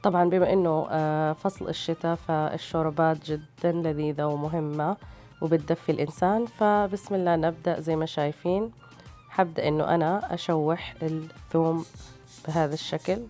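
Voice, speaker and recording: A woman's voice: sits low, fairly even intonation, brisk, warm tone. A woman with a tired voice, narrating recipes as a cooking host in a casual, hesitant way. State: tired, strained voice. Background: background music.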